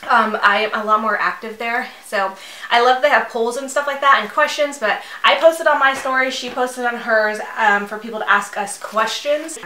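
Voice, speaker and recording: Thirties female beauty vlogger, rambling and giggly, high bright voice, roomy echo. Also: moderate pace. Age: 30s. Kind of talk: chatty get-ready-with-me. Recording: echoey room.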